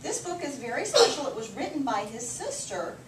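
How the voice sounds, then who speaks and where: In a roomy, noisy recording, a woman reads a children's book aloud from a little way off the mic. Her voice swings widely in pitch as she tells the story with clear emphasis for young listeners. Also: very animated, brisk, recorded from a distance. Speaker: read-aloud teacher. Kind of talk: expressive picture book read-aloud.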